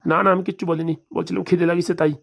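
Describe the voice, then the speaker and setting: A woman's voice: lowish voice, flat delivery, rapid-fire, vocal fry. A woman voicing a henpecked village husband who mutters and grumbles in a rural Bengali accent in a comedy cartoon. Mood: sly muttering.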